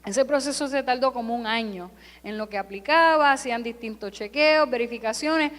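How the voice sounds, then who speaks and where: A woman's voice: a little high, moderate pace. A woman who grew up in California, preaching a Sunday sermon in a church with emphatic, repeated parallel phrases. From California, United States. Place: church sanctuary. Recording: long hall reverb.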